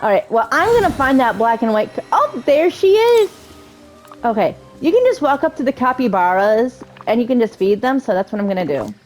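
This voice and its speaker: A woman giving casual, chatty gameplay commentary, excitable and often interrupting herself. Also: fairly high pitch, fast, few pauses, faint music behind. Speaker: video game streamer playing through a story quest.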